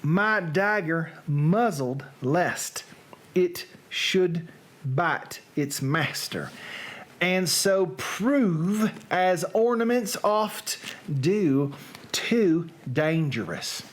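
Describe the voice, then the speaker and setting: A reader voicing a musing Shakespearean nobleman and fond father in a put-on Southern American accent, with drawn-out theatrical phrasing. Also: mid-range voice, animated. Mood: musing, nostalgic. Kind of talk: dramatic reading of Shakespeare verse.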